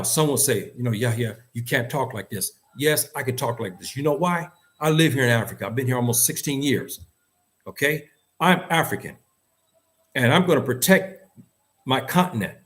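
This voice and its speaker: A man's voice: medium pitch, lively intonation, moderate pace, fairly bright tone. A man with an American accent giving opinionated, unscripted talk in an emphatic, insistent manner, repeating phrases for stress. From United States. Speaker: host and commentator.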